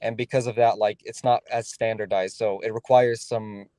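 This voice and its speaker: A man speaking as a guest, explaining his team's work in a halting way with many restarts and fillers. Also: lowish voice, fairly even intonation, mellow, no room sound. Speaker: guest developer. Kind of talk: casual conversational interview.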